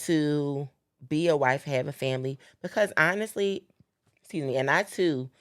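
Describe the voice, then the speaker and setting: Podcast host, woman, very deep voice, earnest preacherly cadence, dry close sound. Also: moderate pace, no room sound. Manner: earnest, preacherly, repeats phrases for emphasis. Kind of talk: casual faith-based chat.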